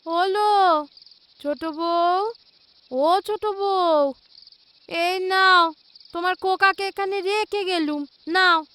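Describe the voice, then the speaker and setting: A woman voicing a scolding, short-tempered elder housewife in an audio drama, sharp and irritated. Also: very high-pitched, fairly even intonation, slow, pauses often, full character voice. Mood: curt. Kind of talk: Bengali audio drama acting.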